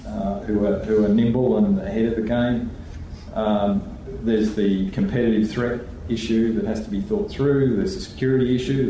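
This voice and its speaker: A man, a regulator answering questions on a conference panel in a measured way, thinking aloud with restarts. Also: fairly low pitch, mellow, slight background noise. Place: conference hall. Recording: echoey hall, voice from far off.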